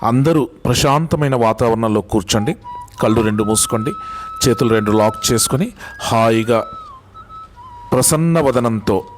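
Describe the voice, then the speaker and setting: A man leading a guided meditation in a calm, deliberate way, instructing and repeating phrases with long pauses. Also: medium pitch. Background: music bed.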